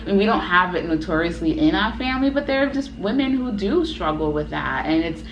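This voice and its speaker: Thoughtful woman in her thirties, low. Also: fairly quick, lo-fi sound. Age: 30s. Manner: earnest, reflective, thinks aloud. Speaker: co-host. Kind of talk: casual chat. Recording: echoey room with steady background noise.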